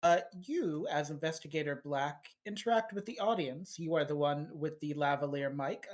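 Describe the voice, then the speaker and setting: A woman acting as game master, playfully setting the scene of a tabletop horror game. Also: low voice.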